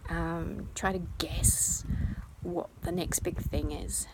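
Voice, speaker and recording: A woman's voice: on the low side, fairly even intonation, slow. New Zealand woman on a windy coast. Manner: chatty, self-deprecating, plain-spoken. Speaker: children's author answering school questions. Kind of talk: casual outdoor video talk. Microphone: phone or camera held by hand. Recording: windy outdoor phone recording. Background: wind noise.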